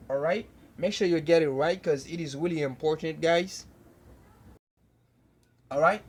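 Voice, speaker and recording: A young man teaching an English lesson at a whiteboard, slowly repeating each phrase several times. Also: high-pitched, slight background noise. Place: indoors at a whiteboard. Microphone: camera close by.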